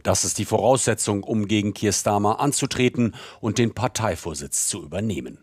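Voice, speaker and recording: A man's voice: on the low side, fairly quick, slightly rough, no room sound, clean studio sound. A man narrating a scripted news report as a correspondent.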